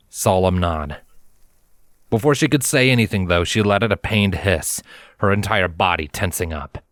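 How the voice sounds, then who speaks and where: A man reading a story as dramatic scripted narration, in a measured, solemn manner. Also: deep voice, a bit bright, dry recording, studio quality. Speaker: fan fiction reader, narrator. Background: background music.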